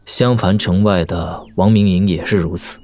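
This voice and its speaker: An actor playing a wry, easygoing young prince in a Chinese martial-arts audio drama, casual and given to sighs. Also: male voice, sits low, moderate pace, darkish tone, faint music behind, close to an everyday voice. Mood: wistful, calm.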